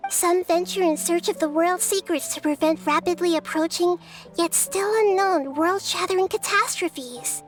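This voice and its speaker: A young girl deity with a high, gentle voice for a video game. She is articulate, thoughtful and compassionate, choosing words with earnest care. Music plays clearly behind her. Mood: earnest, encouraging. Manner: measured, thoughtful, articulate, soft-spoken. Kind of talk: scripted video game dialogue.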